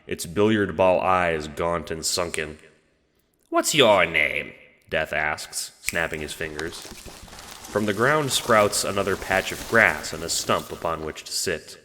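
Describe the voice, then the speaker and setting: Deep, slow male audiobook narrator. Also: pushed and bright, in a large hall. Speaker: narrator, audio drama creator. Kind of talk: scripted second-person audiobook narration.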